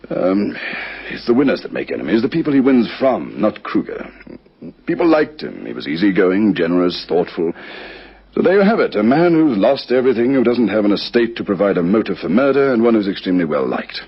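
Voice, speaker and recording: An actor playing a frank witness in a radio crime drama, speaking with deliberate theatrical phrasing. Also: male voice, lowish voice, very animated, breathy edge, heavily acted. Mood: musing, emphatic. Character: frank, animated male witness musing on a murder victim. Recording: dull vintage radio recording.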